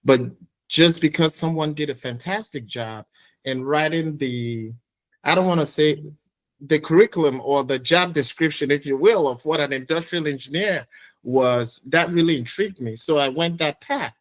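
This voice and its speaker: Nigerian-born guy on a podcast, middle-aged, slow thoughtful storytelling, lots of ums. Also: a little high. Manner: reflective, measured, many fillers. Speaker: podcast guest. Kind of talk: conversational interview storytelling.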